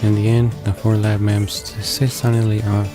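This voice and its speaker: A man playing a visual novel and reading its text aloud in a halting way, stumbling and repeating words. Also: lowish voice, little pitch movement. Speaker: let's player. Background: game background music.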